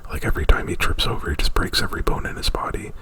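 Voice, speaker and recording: A man doing casual ASMR, whispering breathily right up at the microphone in a rambling, informal retelling. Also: rapid-fire. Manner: rambling, informal, with frequent "like" and profanity. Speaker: ASMR performer. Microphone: very close to the microphone.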